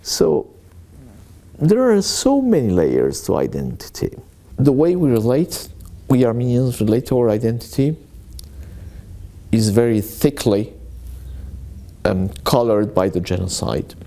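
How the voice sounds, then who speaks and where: Gravelly, mellow-voiced man telling stories. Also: mid-range voice, very animated, measured pace, pauses often. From born in Aleppo, Syria. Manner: hesitant, many fillers and restarts. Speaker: journalist interviewee. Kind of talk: reflective interview storytelling.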